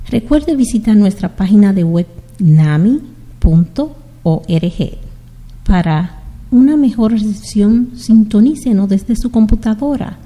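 Woman radio host from the Dominican Republic, unhurried, heartfelt, long reverb on her voice. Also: medium pitch, vocal fry, dark tone, breathy edge. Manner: unhurried, heartfelt, greets listeners by place. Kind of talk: slow, warm community radio sign-off.

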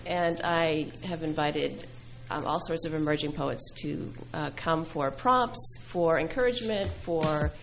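A middle-aged woman who grew up speaking Greek, a poet answering interview questions thoughtfully, with many pauses and fillers. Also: sits low, vocal fry, over music, poor audio. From New England. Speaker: poet and filmmaker guest.

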